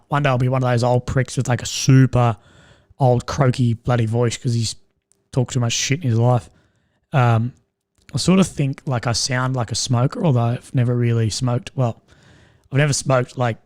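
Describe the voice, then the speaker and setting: A young man with a strained, slightly croaky voice, rambling and swearing as he chats with viewers on a live stream. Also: medium pitch, fairly even intonation, moderate pace, a little mellow, dry recording, studio quality. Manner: rambling, swears often, laughs at own jokes. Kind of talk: casual live stream chat.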